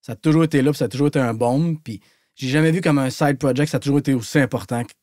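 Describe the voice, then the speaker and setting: A man in his late thirties with a Québécois accent, a guest musician talking casually on a podcast, intense and trailing off softly at the ends of phrases. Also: medium pitch, rapid-fire, no room sound, clean studio sound. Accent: Québécois French. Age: 30s.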